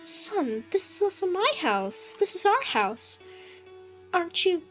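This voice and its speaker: A woman's voice: very high voice, big pitch swings, takes it slowly, faint music behind, voice pushed up, heavily acted. A woman voicing a doting middle-aged mother returned from the dead, sweet and chirpy in a motherly way. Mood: sweetly cheerful.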